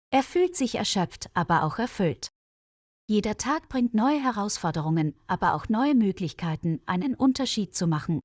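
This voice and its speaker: Female storyteller, mid-pitched, clear and measured, dry close-miked studio read. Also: a little mellow, no room sound. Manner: clear, measured, evenly paced reading. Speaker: narrator. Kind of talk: scripted story narration for language learners.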